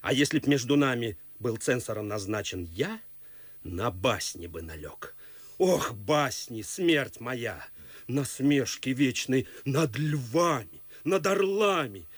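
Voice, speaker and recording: An actor playing an oily, gossiping society rogue in a verse radio drama, theatrical and insinuating. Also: male voice, medium pitch, animated, slow pace, breathy edge, dry recording. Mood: mock indignant. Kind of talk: stage-classic verse radio acting.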